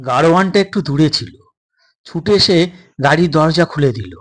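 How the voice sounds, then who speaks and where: A man's voice: fairly high pitch, lively intonation, normal speed. A man reading a scripted suspense story as its narrator.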